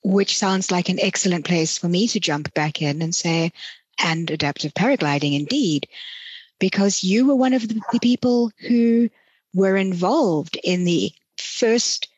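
A woman hosts an interview with a lowish, husky voice. She speaks in measured, articulate sentences, and the recording is close and dry. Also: no echo, muffled recording. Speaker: podcast host. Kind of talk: conversational interviewing.

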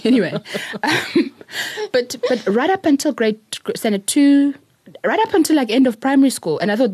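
A middle-aged South African woman, a public speaker, telling her story in an interview in an earnest, reflective way, with soft laughs. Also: mid-range voice, breathy edge, no room sound. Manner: earnest, repeats words, laughs softly while telling stories.

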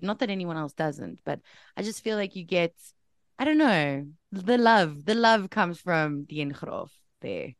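A woman's voice: medium pitch, smooth, dry recording, studio quality. Upbeat woman radio host. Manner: upbeat, playful, teasing. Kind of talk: radio interview.

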